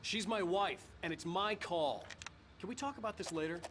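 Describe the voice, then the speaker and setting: A man's voice: high-pitched, normal speed, a bit bright, a little hiss or hum. A man playing a tense, stubborn, desperate husband in a video game, curt and insistent.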